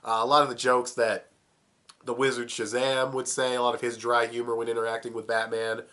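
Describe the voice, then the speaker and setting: A man's voice: mid-range voice, completely flat delivery, fairly quick, sharp tone, slight background noise. A young man with a cold, sounding plugged up, casually reviewing a cartoon, swearing and chuckling as he talks. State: sick, plugged up. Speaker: video reviewer.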